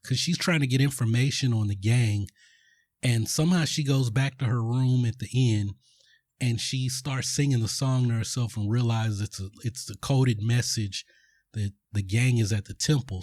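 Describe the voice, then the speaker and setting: A man in the middle of a casual podcast recap, rambling through a film plot with plenty of ums and restarts. His voice sits at an ordinary middle pitch. The recording is dry and close, with no room sound. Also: a little mellow, no room sound. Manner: rambling, filler-heavy storytelling. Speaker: podcast host.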